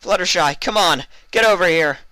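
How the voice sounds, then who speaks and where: A tough female unicorn pony in a storybook tale, performed by a man. The voice is lifted well above his own, with a bright, forceful edge. She is protective, blunt and urgent. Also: high-pitched, normal speed, no room sound, pitched above the performer's own voice. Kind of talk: fan fiction story reading.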